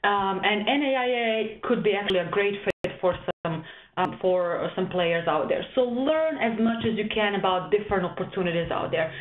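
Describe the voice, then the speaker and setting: A woman's voice: mid-range voice, moderate pace, a little hiss or hum. A young woman from Serbia, a volleyball coach, giving a webinar presentation with many ums and restarts. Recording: tinny webinar audio, echoey room.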